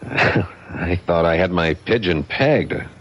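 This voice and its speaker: A man's voice: low-pitched, lively intonation, slow pace, a bit bright, dull audio, exaggerated voice. An actor playing a crooked, murderous bookie with slangy American tough-guy talk in a radio drama. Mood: uneasy, menacing. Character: crooked, nervous bookie plotting a murderous heist. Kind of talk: 1970s radio drama acting.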